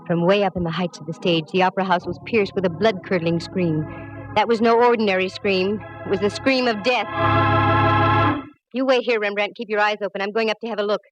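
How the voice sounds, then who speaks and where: A woman's voice: medium pitch, fairly even intonation, fairly quick, natural voice, poor audio. An actress playing a wry, breezy woman private eye in 1940s radio detective style. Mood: dramatic narration. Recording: vintage 1949 radio recording, music behind.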